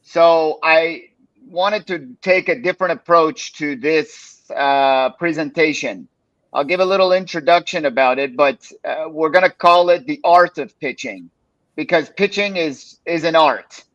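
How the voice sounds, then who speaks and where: Relaxed man presenting on a stream. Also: fairly high pitch, measured pace, dry recording, muffled recording. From Los Angeles, United States. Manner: relaxed and conversational, with frequent "uh" and "you know". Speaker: presenter of a pitching workshop. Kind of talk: casual live-stream presentation.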